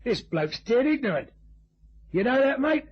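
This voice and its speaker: An actor playing a rough, touchy tough man, blunt and slangy, in an old radio drama. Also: very high-pitched, sing-song, measured pace, lo-fi sound, exaggerated voice. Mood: gruff.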